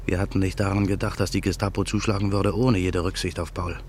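An actor narrating as a man recalling his own past in a radio play, reflective and measured. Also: low-pitched, little pitch movement, darkish tone, slight background noise, close to an everyday voice.

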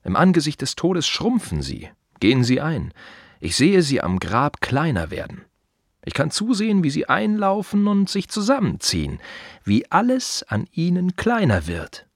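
A man playing a reflective, wry gravedigger in an intimate radio play monologue, speaking in musing fragments with a husky voice. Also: a little high, huge pitch swings, no room sound, studio quality, full character voice. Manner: musing, short fragmentary phrases with pauses. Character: reflective male gravedigger, wry, plain-spoken, quietly observant.

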